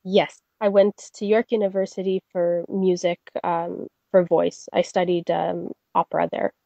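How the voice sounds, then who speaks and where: A woman's voice: fairly low pitch, level tone, a little slow, vocal fry, warm tone, dry recording, muffled recording. A young woman from Canada, a singer answering interview questions in a friendly, chatty way with frequent fillers. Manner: friendly, many fillers and restarts. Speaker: guest singer.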